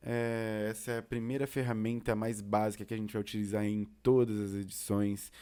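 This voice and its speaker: A man with a Brazilian accent giving an informal software tutorial, explaining casually as an instructor. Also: medium pitch. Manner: casual, explanatory, uses diminutives.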